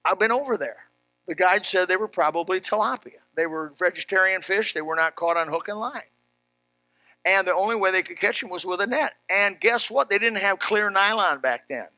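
A male preacher with a high, forceful voice delivers a Bible sermon. His manner is folksy and emphatic, repeating key phrases for weight. The sound is close and dry. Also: expressive, normal speed, no room sound. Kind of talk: animated Bible sermon with personal anecdotes.

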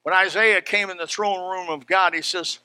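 A male preacher with a high, forceful voice delivers a Bible sermon. His manner is folksy and emphatic, repeating key phrases for weight. The sound is close and dry. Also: steady tone, normal speed, smooth, no echo. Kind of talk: animated Bible sermon with personal anecdotes.

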